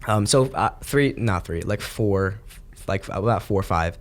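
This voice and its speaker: A young man from the United States, a podcast guest, telling his story in an earnest, reflective and halting way. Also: low voice. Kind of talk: casual interview.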